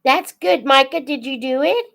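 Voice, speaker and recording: An older woman chatting casually as a live host for young viewers, in an unhurried, reflective, folksy manner. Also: sits high, vocal fry, a bit bright. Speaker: host of a children's book read-aloud channel.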